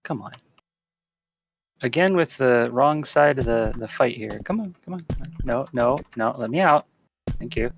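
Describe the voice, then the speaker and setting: A man's voice: mid-range voice, lively intonation, a little slow, creaky, lo-fi sound. A man giving informal live commentary as a speedrunner, often talking to the game while he plays.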